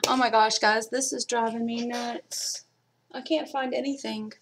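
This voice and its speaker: A woman's voice: a little high, steady tone, unhurried, slight background noise. A middle-aged woman from Kentucky with a mild Kentucky accent, chatting casually with her viewers during a live craft stream. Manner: chatty, talks to viewers in the chat. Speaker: livestream crafter.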